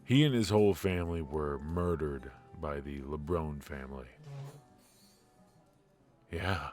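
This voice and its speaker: A man playing a suave, ruthless mafia boss in a noir audio roleplay, confiding in a measured, intimate, smug way with hesitant pauses. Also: rumbling low, darkish tone, faint music behind. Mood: confiding, grave. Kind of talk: noir ASMR roleplay monologue.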